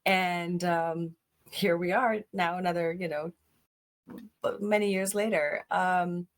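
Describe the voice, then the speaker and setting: Low-voiced woman from Pakistan. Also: steady tone, takes it slowly. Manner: earnest, frequent fillers. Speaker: special education director. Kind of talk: casual interview answers.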